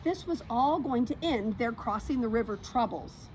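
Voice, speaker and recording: A woman reads a children's story aloud as a storytime reader. Her voice is high and swings widely in pitch. The recording is slightly dull. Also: animated, moderate pace, a little mellow. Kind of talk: animated read-aloud of a children's book. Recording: slightly dull audio, faint background noise.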